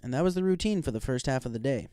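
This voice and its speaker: A man's voice: mid-range voice, animated, rapid-fire, mellow, dry recording, clean studio sound. A man reading a scripted story aloud as an audiobook narrator.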